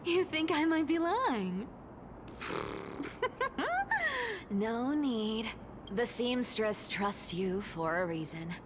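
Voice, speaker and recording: A woman's voice: fairly high pitch, animated, slow, noisy background. A woman voicing a sly young trickster demigod in a video game, teasing and giggly in a theatrical way. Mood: playful, coy. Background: background music.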